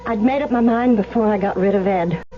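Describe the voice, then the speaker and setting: A woman's voice: medium pitch, brisk, over loud music, close to an everyday voice. A woman playing an earnest, conflicted young woman in love in an old-time radio drama, emotional and hesitant, on a muffled, noisy recording. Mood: reflective.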